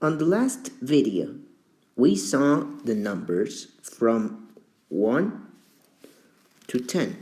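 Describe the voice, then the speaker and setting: A man teaching a beginner English lesson, speaking slowly and deliberately with long pauses between words. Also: mid-range voice, very animated, darkish tone.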